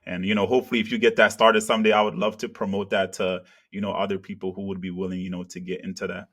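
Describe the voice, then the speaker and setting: A man's voice: low-pitched, flat delivery, fast, fairly bright tone. A man from Africa hosting an interview, asking questions earnestly with many "you know" and "kinda". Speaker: interviewer, channel host.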